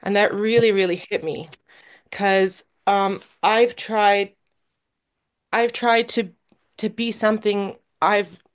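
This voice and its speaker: A woman speaking as the guest at a recovery meeting, sharing her own story in a halting, earnest way with many pauses and restarts, and a little nervous. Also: mid-range voice, fairly even intonation. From Canada. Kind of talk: personal recovery share.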